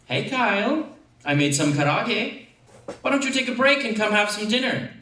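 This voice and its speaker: A man voices a concerned, persistent mother in a short story, coaxing and questioning. The pitch is lifted high, and the room rings with echo, the mic some way off. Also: lively intonation, normal speed, sharp tone. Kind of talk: dramatic short story reading. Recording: echoey room, distant mic.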